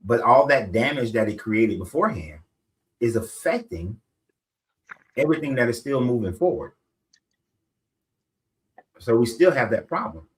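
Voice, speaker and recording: A man talking in a casual discussion. He reasons aloud in a measured way, checking in with rhetorical questions. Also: medium pitch, big pitch swings.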